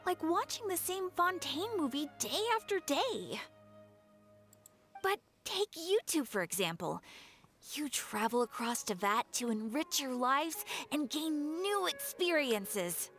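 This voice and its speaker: A woman's voice: high voice, big pitch swings, slow pace, fairly bright tone, faint music behind, full character voice. An actress playing a friendly young female guild receptionist, informal and reflective, in an anime game.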